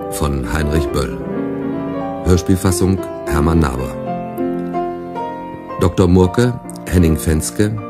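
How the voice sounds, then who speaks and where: Deep, slow male radio announcer. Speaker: radio announcer reading the credits. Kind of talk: formal scripted announcement. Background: piano and keyboard music.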